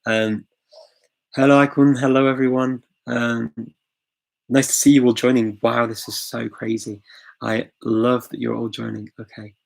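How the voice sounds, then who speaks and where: A man's voice: medium pitch, little pitch movement, measured pace, creaky, darkish tone, rough recording. A man hosting a casual live-stream Q&A, chatty and full of fillers, chuckling often and greeting viewers as they join. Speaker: host of a live language-learning Q&A. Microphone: phone.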